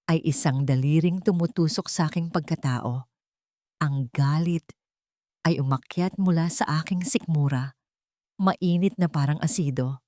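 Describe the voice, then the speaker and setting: A woman with a Filipino accent narrating a scripted dramatic story in the first person, in a measured, emotive way. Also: on the low side, darkish tone, no echo, clean studio sound.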